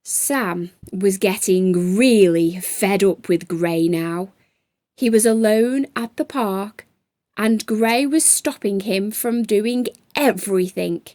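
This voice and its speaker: A woman's voice: mid-range voice, darkish tone, no echo. A woman narrating a children's storybook expressively, as a storytime host.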